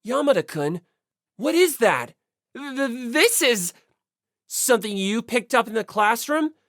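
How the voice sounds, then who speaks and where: An earnest teenage boy played by a woman in audiobook dialogue. He sounds polite, questioning and slightly hesitant, with animated intonation in a dry recording. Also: on the high side, measured pace, no echo, voice pushed up. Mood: puzzled, hesitant. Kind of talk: audiobook character acting.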